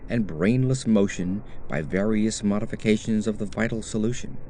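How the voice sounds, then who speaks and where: Man, audiobook narrator, soft dark mellow tone, measured literary horror storytelling, noticeable background noise. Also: medium pitch.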